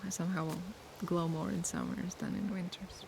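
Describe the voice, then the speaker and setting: Soft woman with vocal fry. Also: fairly low pitch. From Latvia. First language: Latvian. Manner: soft, unhurried and thoughtful, pausing to search for words. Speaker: ASMR creator. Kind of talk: casual ASMR Q&A chat. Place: outdoors by the sea at sunset. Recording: steady sea noise behind. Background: sea waves.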